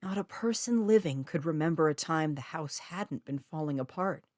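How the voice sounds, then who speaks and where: A woman with a low-pitched voice narrates an eerie short story of her own. The reading is scripted, and the sound is dry with very little room in it. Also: animated, moderate pace, a little mellow, dry recording.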